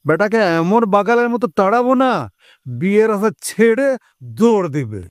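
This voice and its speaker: A man's voice: high voice, animated, unhurried, no echo, studio quality. An actor playing a boastful, jokey, protective middle-aged father in an audio story, theatrical and teasing. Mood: jovial bragging. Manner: theatrical, teasing, exclamatory with colloquial banter. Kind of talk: Bengali audio story acting.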